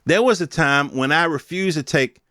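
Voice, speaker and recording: Smooth-voiced middle-aged American man, casual podcast rant. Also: fairly high pitch, moderate pace, sharp tone, no room sound, studio quality. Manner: informal, streetwise, opinionated, emphatic with swearing. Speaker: podcast host. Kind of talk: casual rambling monologue commentary.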